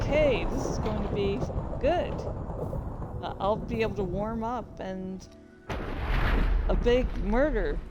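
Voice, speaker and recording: A woman telling gaming stories casually as a host, in a rambling, informal, sweary way. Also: medium pitch. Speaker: host of a reading and gaming channel. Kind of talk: casual storytelling about gaming.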